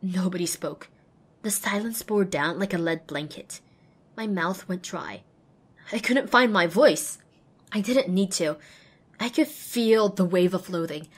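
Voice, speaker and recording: A woman's voice: fairly low pitch, unhurried, slightly rough. A woman playing a small, anxious outcast pony mare who tells her own story expressively and introspectively in an audio drama. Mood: dread. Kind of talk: first-person dramatic audiobook narration.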